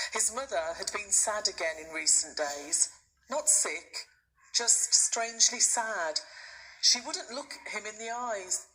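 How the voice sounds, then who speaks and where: Woman, teacher reading aloud, children's storybook narration, expressive, bright forceful tone, medium pitch. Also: slight background noise, low-quality recording.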